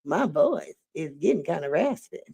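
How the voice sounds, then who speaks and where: A middle-aged woman with a slightly raspy voice, hosting a casual late-night livestream and reading comments aloud in a sassy way. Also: low voice, sing-song, moderate pace. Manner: sassy, drawling, reads chat aloud. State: voice getting raspy. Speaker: livestream host.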